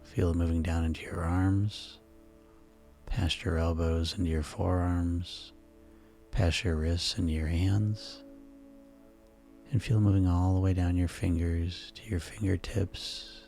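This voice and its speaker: A man guiding a sleep hypnosis session in a slow, soothing, repetitive way. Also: deep voice, little pitch movement, dark tone. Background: music.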